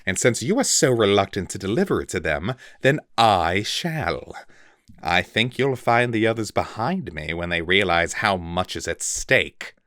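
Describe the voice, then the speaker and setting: A man voicing a scheming male villain who stays icily calm and hisses his threats. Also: fairly low pitch, measured pace, no echo, studio quality. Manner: icily calm, hissing threats, then screaming denials. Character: sly cold male villain, spectacled mutineer.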